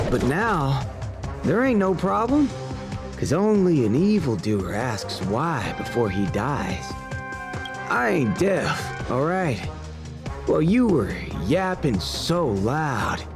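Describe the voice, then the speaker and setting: An actor playing a folksy, cocky young cowboy gunslinger in a video game, with a Southern US drawl. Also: male voice, fairly high pitch, exaggerated voice. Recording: game music under the voice.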